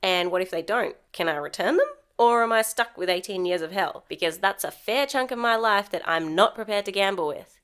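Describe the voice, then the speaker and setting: A woman's voice: mid-range voice, fairly quick, fairly bright tone, smooth. Wry, sarcastic woman podcaster. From Sri Lankan heritage. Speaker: podcast host. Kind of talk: solo comedic podcast monologue.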